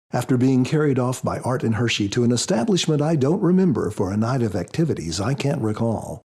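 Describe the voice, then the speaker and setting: Gravelly, mellow male audiobook narrator. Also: medium pitch. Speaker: author narrating his audiobook. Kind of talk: scripted first-person narration.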